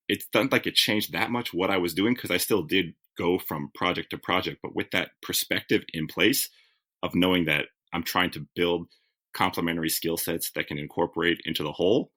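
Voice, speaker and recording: A man's voice: deep voice, bright, forceful tone. A man being interviewed as a TV writer, telling stories in a reflective, self-correcting conversational way. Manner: reflective, self-correcting, with frequent "like" and "you know". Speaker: guest, TV writer.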